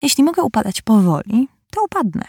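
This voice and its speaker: A woman's voice: very animated, fairly quick, darkish tone, husky, dry recording, studio quality, heavily acted. Amy, a woman voicing a prim, vain little girl. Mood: indignant. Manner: high, insistent and affected.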